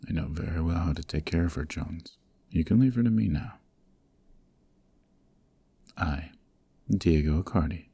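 A man playing a cold-hearted, commanding young mafia heir in a scripted ASMR roleplay, speaking sternly in a gravelly voice with clipped menace. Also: warm tone, a little hiss or hum, exaggerated voice. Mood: impatient, composed. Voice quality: gravelly, very deep.

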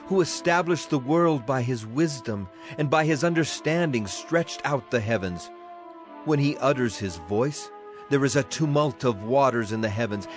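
A man narrating scripture in a measured, solemn dramatized reading. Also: medium pitch. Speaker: Bible narrator.

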